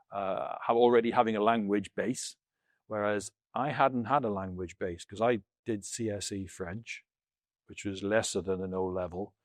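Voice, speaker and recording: A man's voice: lowish voice, a little mellow, no echo, clean studio sound. A middle-aged man with a British accent, telling anecdotes conversationally as an interview guest. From United Kingdom. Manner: anecdotal, re-enacts dialogue as he tells it. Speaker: interview guest, former military linguist. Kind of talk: conversational storytelling.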